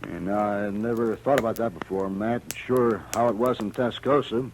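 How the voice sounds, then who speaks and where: An actor's folksy, drawling Western gunslinger, faint radio hiss. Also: male voice, fairly low pitch, fairly even intonation, normal speed, natural voice. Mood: earnest, wistful. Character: folksy, reckless gunslinging ex-sheriff, loyal old friend. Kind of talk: 1950s radio western acting. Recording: slightly noisy vintage radio recording.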